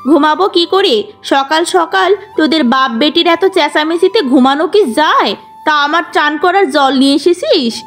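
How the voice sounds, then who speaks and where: A woman's voice: high-pitched, animated, moderate pace, faint music behind. A woman voicing a jealous, scheming young village woman, a bossy and spiteful elder sister in a cartoon tale. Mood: irritated. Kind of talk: cartoon fairy-tale acting.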